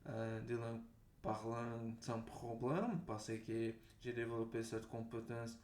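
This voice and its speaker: A man from Brazil with a Brazilian accent and Portuguese as his native language, talking unscripted to viewers in a halting, repetitive way as a language vlogger. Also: medium pitch, fairly even intonation, a little mellow. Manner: halting, repeats words and phrases. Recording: roomy echo, faint background noise.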